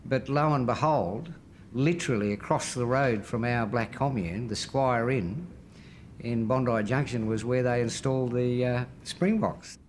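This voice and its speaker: A man's voice: medium pitch, a little slow, slight background noise. An older man with an Australian accent, reminiscing in an interview. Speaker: interviewee, former activist. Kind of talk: reflective interview recollection.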